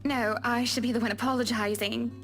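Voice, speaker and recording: Man doing a teasing married woman, coy falsetto, high-pitched, soft game music behind. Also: steady tone, fairly quick. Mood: flirty teasing. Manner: playful, coy, sing-song falsetto.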